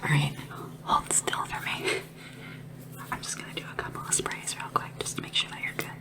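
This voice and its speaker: A woman playing a gentle, devoted young sleep assistant in a fantasy ASMR roleplay, hushed and breathy, soothing and attentive. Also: far from the mic, close to an everyday voice. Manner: soothing, attentive, earnest, unhurried. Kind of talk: soft-spoken and whispered ASMR roleplay.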